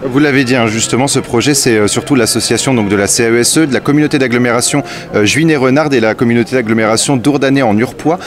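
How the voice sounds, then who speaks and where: A man interviewing a guest for radio outdoors at a fair, asking brisk questions with small stumbles. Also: medium pitch, a crowd in the background. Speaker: radio reporter.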